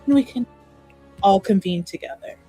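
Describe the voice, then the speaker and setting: A woman's voice: medium pitch, lively intonation, normal speed, mellow, slightly rough, soft background music. A woman playing a gracious, diplomatic noblewoman in a tabletop game, earnest and courteous, with formal, careful wording. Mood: obliging. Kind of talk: tabletop roleplay dialogue.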